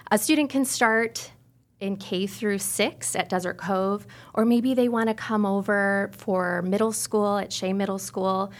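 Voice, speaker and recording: American woman, articulate arts educator. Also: mid-range voice. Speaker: arts teacher, podcast guest. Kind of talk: informational interview answers.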